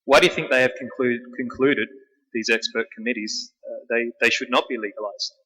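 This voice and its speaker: A man asking a formal question from the floor of a public debate, halting and repeating words. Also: mid-range voice, fairly even intonation, pushed and bright, slightly rough. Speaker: audience questioner. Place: large town hall. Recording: echoey large hall.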